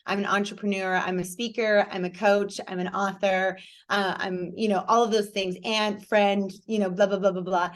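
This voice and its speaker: A woman with a raspy, hoarse voice, telling her story openly and with energy as a guest in a conversation. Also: medium pitch, normal speed, hardly any pauses. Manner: energetic, candid, lively storytelling. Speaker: podcast guest, speaker and coach. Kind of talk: interview conversation.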